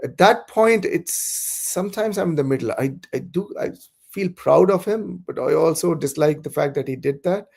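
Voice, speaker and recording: A man's voice: fairly high pitch, lively intonation, normal speed, vocal fry, a little mellow, breathy edge. A man from south India whose first language is Malayalam, talking as a podcast guest in a thoughtful, hesitant way with many restarts. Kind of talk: casual conversation.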